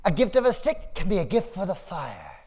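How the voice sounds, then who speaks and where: A man's voice: high-pitched, huge pitch swings, moderate pace, noisy background, exaggerated voice. A man voicing an eager young boy who loves drums, talking in squealing, breathless bursts. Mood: grateful.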